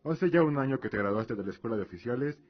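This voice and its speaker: An actor playing a proud, devout middle-aged lord and father in a fantasy radio drama, speaking in a measured, formal, earnest way. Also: mid-range voice, lively intonation, muffled recording, close to an everyday voice. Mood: serious.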